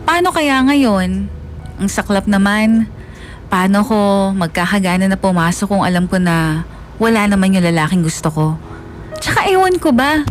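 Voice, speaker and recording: A woman's voice: medium pitch, lightly acted. A woman playing a lovesick, jealous young woman, narrating her own story emotionally in a radio drama. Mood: pining. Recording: music bed behind the voice.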